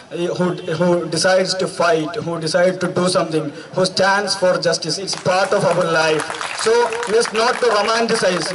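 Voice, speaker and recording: High-pitched young Indian-accented man at a rally. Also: background noise. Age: 20s. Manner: emphatic, repeats phrases. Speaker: student activist speaker. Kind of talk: impassioned political speech at a rally. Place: outdoor night rally stage. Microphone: handheld microphone through PA. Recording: distant PA sound with crowd around.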